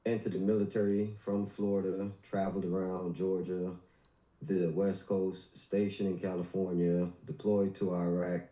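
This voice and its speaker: Man from Guyana, 40s, very deep, mellow, deferential caller, echoey room. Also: level tone, normal speed. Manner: deferential, says sir often. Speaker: caller, church member. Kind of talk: casual call-in testimony.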